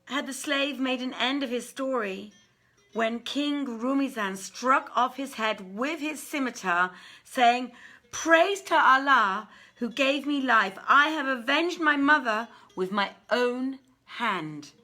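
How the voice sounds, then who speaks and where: A woman reading an old literary tale aloud in a theatrical, measured and deliberate manner. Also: high voice, lively intonation, bright, forceful tone. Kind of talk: dramatic literary story reading.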